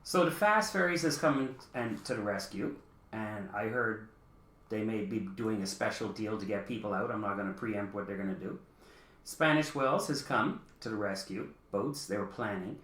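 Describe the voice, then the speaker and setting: A man's voice: on the low side, normal speed, fairly bright tone. A man giving his opinions as a talk show panelist, blunt, insistent and argumentative in political discussion. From the Bahamas, Canadian Bahamian. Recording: echoey room.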